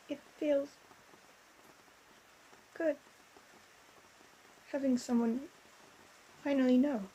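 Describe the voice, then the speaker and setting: A woman playing a distraught, lonely young female ghost in an emotional audio roleplay, speaking haltingly with stammered repetitions. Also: high-pitched, mellow, slight background noise, low-quality recording. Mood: subdued, relieved.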